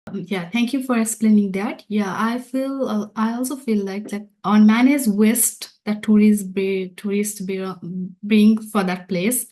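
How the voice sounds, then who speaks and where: A woman with a Nepali accent moderates an online question session. Her voice sits at a middle pitch, and she is polite, with somewhat halting phrasing. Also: fairly even intonation, mellow. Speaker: webinar host.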